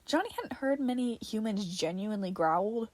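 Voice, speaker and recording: A woman's voice: mid-range voice, animated, moderate pace, dry recording. A woman reading fiction aloud as a podfic narrator, in a dramatic storytelling style.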